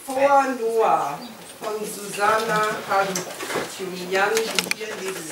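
A woman's voice: mid-range voice, slow, far from the mic. A middle-aged woman presenting and reading literature aloud to a small audience. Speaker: reader at a literary salon. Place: crowded living room, indoors. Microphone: distant camera microphone. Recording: echoey noisy room, picked up from afar.

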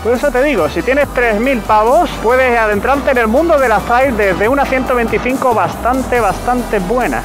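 Chatty high-voiced man on a motorbike. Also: normal speed, few pauses, over music. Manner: chatty, joking, laughs at himself. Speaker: motovlogger. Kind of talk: casual motorcycle review while riding. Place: outdoors riding a motorcycle in city traffic.

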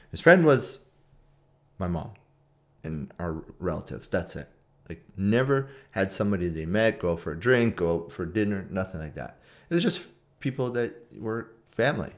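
Low-voiced Canadian man, casual storyteller. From Toronto, Canada. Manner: informal, conversational, with repetition for emphasis. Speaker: trader and trading community video host. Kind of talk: casual personal storytelling to camera. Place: small room at home.

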